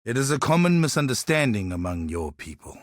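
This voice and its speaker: A man's voice: medium pitch, big pitch swings, a little fast, no echo, studio quality, natural voice. An actor voicing a proud, earnest and wry islander man who seeks justice for his enslaved people, in scripted video game dialogue. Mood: solemn.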